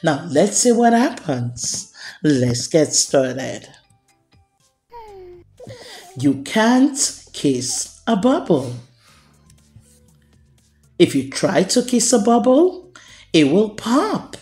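Woman, children's read-aloud narrator, lively pitch swings, mellow tone, expressive storyteller, music behind. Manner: deliberate, expressive storyteller delivery addressed to children. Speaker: children's storytime host and book reader.

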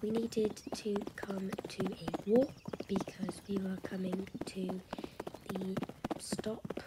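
Slow, halting woman over loud noise. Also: mid-range voice. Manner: halting, hesitant, trailing off. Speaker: vlogger narrating her own horse riding. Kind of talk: casual voiceover recap.

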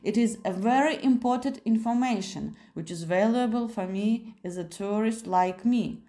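A woman's voice: medium pitch, normal speed, mellow, natural voice. A woman voicing a strange, eager foreign man with elaborately courteous, exclamatory manners in an audiobook. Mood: excited.

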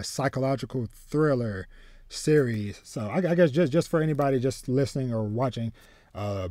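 A man in his thirties chatting casually as a podcast host, haltingly, often repeating words as he goes. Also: mid-range voice, dark tone, no room sound, clean studio sound. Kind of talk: casual chat about manga and anime. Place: small home room with bookshelves.

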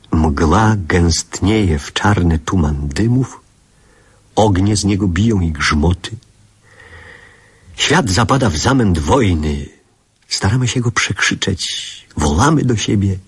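Wistful man narrator, very husky, low, lively intonation, measured reflective phrasing, literary radio drama. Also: exaggerated voice. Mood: grave, haunted. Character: wistful grown man recalling his boyhood in a city.

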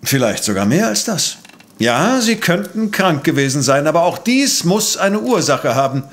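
Brilliant, impatient professor-detective for audio drama: raised register, brusque, precise, superior manner. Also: male voice, on the high side, big pitch swings, normal speed, a bit bright. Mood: analytical, disdainful. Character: impatient, arrogant, brilliant male professor and amateur sleuth. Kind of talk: German audio drama acting.

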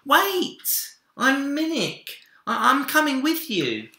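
A man voicing an eager, brave, affectionate little boy in a storybook, high-pitched and emphatic. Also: huge pitch swings, slow, sharp tone, full character voice. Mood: eager, friendly. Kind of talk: children's storybook read-aloud. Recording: roomy echo.

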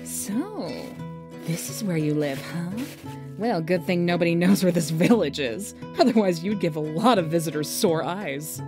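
A woman acting out a vain, flirty fish woman, sassy and sure of herself. Her voice is lower than usual with lively swings, over faint music. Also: moderate pace, close to an everyday voice. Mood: mocking. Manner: sassy, self-assured.

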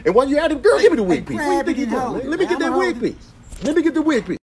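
A pushy, vain American ape put on by a man nags insistently in slangy phrases. The voice is high and animated, in the style of a comic animal dub. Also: very fast, crowd noise. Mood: pushy, demanding. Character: pushy, vain male ape demanding a wig.

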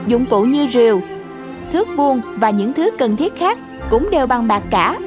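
A woman's voice: on the high side, expressive, normal speed, music in the background, natural voice. A woman voicing a sly, bossy talking cat in a cartoon fairy tale, with a commanding, teasing manner. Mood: demanding.

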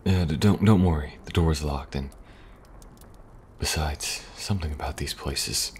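A man playing a calm, protective young special agent in an ASMR audio roleplay, speaking softly and reassuringly with dry humor and a gravelly, at times breathy voice. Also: rumbling low, a little slow. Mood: gentle, reassuring. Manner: soft, hesitant, reassuring, dryly humorous. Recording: audible background noise, ambience.

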